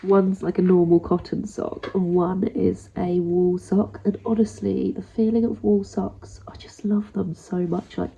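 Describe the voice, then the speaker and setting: A young woman with a blocked nose, chatting casually and rambling in a soft voice that often drops near a whisper. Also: mid-range voice, level tone, a little slow, vocal fry, hardly any pauses, slightly rough, poor audio. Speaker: vlogger.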